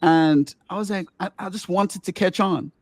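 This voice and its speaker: A middle-aged man from Grenada hosting a casual podcast interview, with a light, somewhat squeaky voice, talking eagerly and rambling with many restarts. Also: normal speed, dry recording. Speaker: podcast host and musician.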